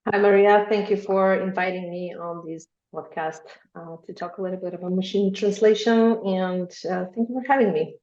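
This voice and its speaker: A woman's voice: medium pitch, fairly even intonation, moderate pace, a little mellow. Macedonian-accented woman on a roomy call. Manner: thoughtful, many ums and uhs, light laughs. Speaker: guest, machine translation specialist. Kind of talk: podcast interview answer. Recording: roomy, dull lo-fi remote sound.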